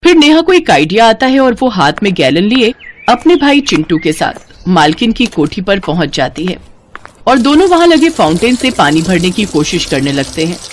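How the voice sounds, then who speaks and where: A woman's voice: mid-range voice, big pitch swings, normal speed, a little hiss or hum. A woman storyteller reading a scripted moral story as narrator.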